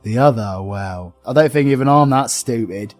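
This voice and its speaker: A man's voice: medium pitch, normal speed, soft background music, lightly acted. A British man playing a cocky, foul-mouthed villain cast as a reluctant Scrooge in a comedic audio play, full of cheeky sarcasm. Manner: cheeky, sarcastic, crude banter. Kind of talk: comedic audio roleplay acting.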